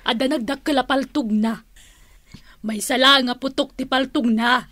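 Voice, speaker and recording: Reassuring, high-voiced auntie. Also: female voice, steady tone, moderate pace, fairly bright tone, no room sound, clean studio sound. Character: reassuring older confidante, an auntie.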